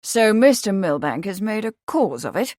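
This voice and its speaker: A woman voicing an affable, well-bred young gentleman in an audiobook, with polite, clipped, old-fashioned phrasing. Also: medium pitch, sing-song, moderate pace, no echo, clean studio sound, lightly acted. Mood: explanatory.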